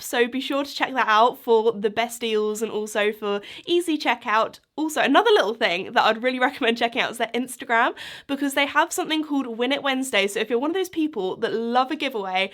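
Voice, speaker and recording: A woman's voice: high-pitched, lively intonation, fairly quick, a bit bright. A young woman hosting her own podcast, chatting casually and rambling with lots of asides. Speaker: podcast host. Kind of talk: casual chat.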